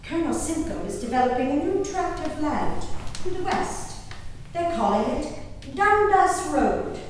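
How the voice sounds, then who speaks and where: A woman performing a dramatic monologue as a genteel, devoted, anxious colonial wife and mother, in a theatrical, measured manner. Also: sits high, sing-song. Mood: curious, alarmed. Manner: theatrical, measured, with exclamations and pauses. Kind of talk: dramatic stage monologue reading of a diary. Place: reverberant performance hall. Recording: echoey hall from far off, background noise.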